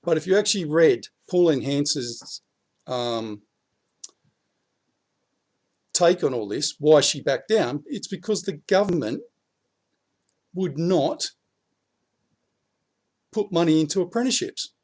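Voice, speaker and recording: Croaky, blunt Australian man. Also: a little high, animated, unhurried, pauses often, a little mellow. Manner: blunt, swears, rising heat when urging. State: slightly croaky after flu. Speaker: prepping vlogger. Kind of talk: casual political talk to camera. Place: outdoors in his backyard.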